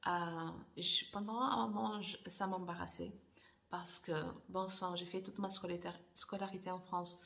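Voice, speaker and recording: A woman with a low, gravelly voice talks in a relaxed vlog. Her first language is Portuguese, and she speaks in a thoughtful, halting way, pausing often and restarting her sentences. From Angola. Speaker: author. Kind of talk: casual personal vlog.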